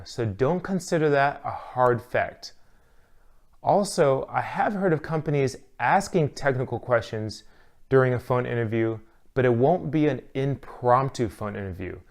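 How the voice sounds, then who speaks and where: A man working as an instructor, delivering scripted instructional narration in a clear, measured, advisory manner. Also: mid-range voice, lively intonation, a little mellow.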